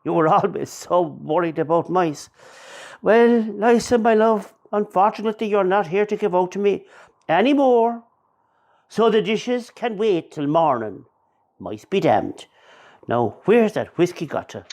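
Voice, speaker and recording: A man's voice: high-pitched, animated, measured pace, a little mellow, lo-fi sound. Actor as grumpy, grieving older Irish father. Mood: grieving, wry. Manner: gruff, sardonic, sweary. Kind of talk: radio play acting.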